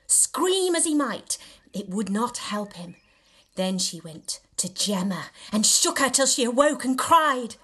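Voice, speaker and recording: A woman reading a novel extract aloud in an expressive, theatrical and measured storytelling style. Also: on the high side, slightly rough. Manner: theatrical, measured storytelling with dramatic emphasis and hushed dips. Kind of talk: expressive scripted audiobook narration.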